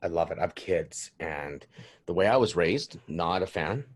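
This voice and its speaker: A man hosting an interview in a casual, informal and halting conversational manner. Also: deep voice, lively intonation, a little hiss or hum. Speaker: podcast host, interviewer.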